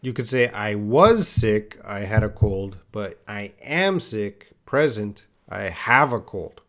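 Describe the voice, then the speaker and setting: Slow, patient male English teacher. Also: fairly low pitch, animated, a bit bright. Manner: reads out and corrects viewers' answers one by one; patient, explains with repeated example phrases. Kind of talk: live online lesson.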